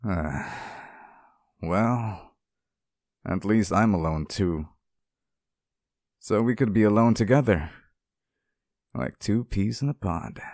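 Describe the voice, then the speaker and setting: Casting: male actor as sweet, possessive sealed demon, ASMR roleplay. Low gravelly voice, dry close sound, soft pleading delivery. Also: dry recording. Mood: wistful, sweet. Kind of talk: ASMR roleplay, intimate scripted monologue to the listener.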